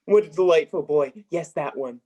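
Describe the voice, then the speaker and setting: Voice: mid-range voice, moderate pace, dark tone, muffled recording, natural voice. A young performer playing a giddy, generous reformed old miser in a 1930s-style radio play, hearty and exclamatory. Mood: delighted. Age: young adult. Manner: exclamatory, hearty, courtly old-fashioned phrasing.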